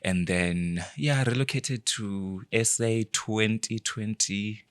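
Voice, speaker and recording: A young man from Zimbabwe, a podcast guest, talking in a quiet, shy, soft-spoken way. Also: lowish voice, slow, smooth. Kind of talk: casual interview conversation.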